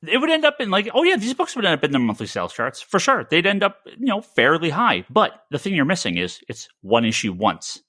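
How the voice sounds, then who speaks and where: A man's voice: a little high, huge pitch swings, brisk, hardly any pauses, pushed and bright, no echo, studio quality. An American man hosting a casual podcast discussion, giving opinions emphatically and stammering over repeated words. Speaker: podcast host; comic shop retailer.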